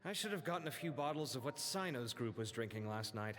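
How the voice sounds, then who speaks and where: A man voicing a friendly, expressive young man in a fantasy video game. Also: mid-range voice, a little fast, lightly acted. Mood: chatty. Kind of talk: video game character dialogue.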